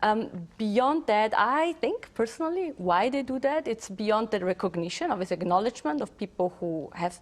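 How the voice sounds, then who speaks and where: A woman's voice: mid-range voice, lively intonation, normal speed, hardly any pauses. A woman in her thirties from Switzerland, answering interview questions in a chatty way with frequent fillers. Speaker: interview guest. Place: TV studio.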